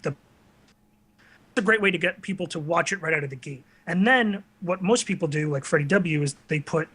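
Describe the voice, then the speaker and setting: A man's voice: a little high, fairly quick, bright, forceful tone, breathy edge. A man hosting a casual talk show, speaking conversationally with an enthusiastic manner.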